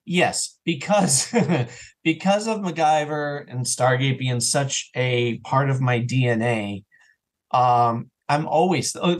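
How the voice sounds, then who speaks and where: A man chatting casually as an interview guest, animated and often voicing his own thoughts as quoted self-talk. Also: medium pitch. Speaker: podcast guest, audio drama creator and voice actor.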